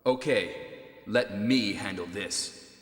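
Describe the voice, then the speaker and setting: Cool squad captain, 1990s game; theatrical, slow, reverberant delivery. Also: male voice, on the low side. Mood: commanding. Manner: stilted, theatrical emphasis. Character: commanding, cool police squad captain, Wesker. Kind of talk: 1990s video game voice acting.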